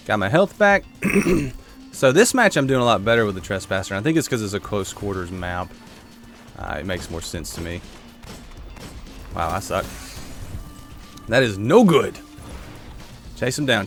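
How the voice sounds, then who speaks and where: A man giving casual gameplay commentary while playing a shooter, with a congested throat he keeps clearing, chatting to viewers and reacting aloud. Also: on the low side, sing-song, measured pace, pauses often, fairly bright tone, faint music behind. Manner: chatty, reacts aloud to the game, answers chat. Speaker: gaming YouTuber.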